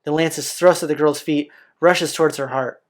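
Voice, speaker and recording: A man's voice: on the high side, steady tone, normal speed, slight background noise. A man hosting a let's play, reading visual novel narration aloud. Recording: music playing behind the voice. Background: game soundtrack.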